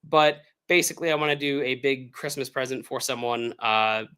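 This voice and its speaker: A man with an American accent, hoarse from illness, chatting casually and upbeat as the host of a livestream Q&A, with lots of fillers. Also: medium pitch, normal speed, sharp tone. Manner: rambling, filler-heavy, upbeat. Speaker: author hosting a livestream Q&A.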